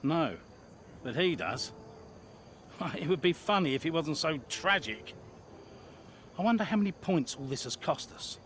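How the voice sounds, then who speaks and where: A blunt, fatherly team boss in a video game drama. His pitch sits fairly high and moves in lively swings, over clearly noisy background ambience. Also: unhurried, pauses often. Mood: blunt, probing. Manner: wry and blunt, addresses others like a mentor. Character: wry, paternal, blunt middle-aged racing team boss. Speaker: racing team principal.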